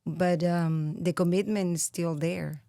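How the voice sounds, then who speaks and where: A low-pitched woman with a Venezuelan Spanish accent talks slowly and earnestly, pausing often to think. Her voice sits dry and close to the mic. She sounds like a podcast guest reflecting in her thirties. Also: steady tone, dark tone, dry recording. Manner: reflective, earnest, with frequent pauses and fillers. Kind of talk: interview conversation.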